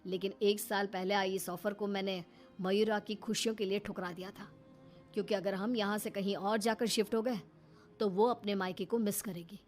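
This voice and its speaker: A woman's voice: mid-range voice, fairly even intonation, normal speed, faint music behind, lightly acted. A woman playing a fed-up young husband in a cartoon story, stern and reproachful. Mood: resolute. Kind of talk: animated Hindi story acting.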